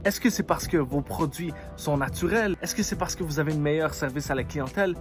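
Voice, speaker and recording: Arabic-speaking man vlogging over music. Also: on the high side. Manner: explanatory, rhetorical questions. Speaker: entrepreneurship vlogger. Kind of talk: talking to camera about business. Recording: music playing underneath. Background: background music.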